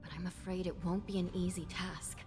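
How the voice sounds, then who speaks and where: An actress playing a solemn, dutiful judge in a video game, speaking formally and with reserve. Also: female voice, lowish voice, little pitch movement, a little fast, close to an everyday voice. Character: solemn, dutiful female judge of an underworld commission. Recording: loud music behind the voice.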